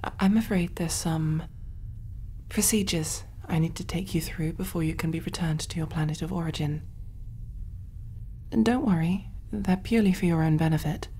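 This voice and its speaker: A woman voicing a kind, calm alien medic in a soft, breathy, reassuring ASMR roleplay style. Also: deep voice, normal speed, a little hiss or hum. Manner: gentle, hushed, reassuring, often near whisper.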